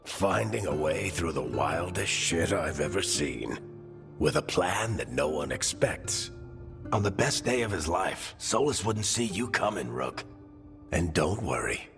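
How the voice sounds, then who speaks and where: A man's voice: low voice, animated, unhurried, over music. An actor playing a seasoned, wry team leader in a video game, talking in a colloquial, fatherly and encouraging way. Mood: fond encouragement. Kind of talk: dramatic video game dialogue.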